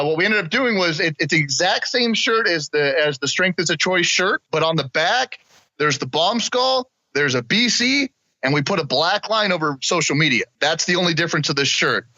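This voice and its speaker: A man from Missouri chatting loosely as a podcast guest, swearing now and then as he rambles. His voice is bright and a touch high. The sound is dry and dull, like a lo-fi recording. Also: no echo. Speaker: guest, business owner. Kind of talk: casual podcast chat.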